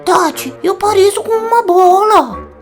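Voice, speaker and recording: Small whiny witch's companion for kids' animation, Brazilian accent. Extremely high, childlike squeak, slow drawn-out delivery. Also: lively intonation, faint music behind. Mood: whiny, shivering. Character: small excitable companion of a young witch, whiny when cold. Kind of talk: children's cartoon dubbing.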